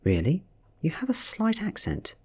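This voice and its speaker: A British man voicing a confident, curious teenage schoolboy in a soft, breathy storybook reading, friendly and questioning. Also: high voice, huge pitch swings, normal speed, voice pushed up, exaggerated voice.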